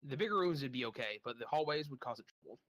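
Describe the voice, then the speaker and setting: A man's voice: medium pitch, animated, fast, a bit bright, dry recording. A man running a tabletop game as game master, teasing his players in a pedantic, swearing way.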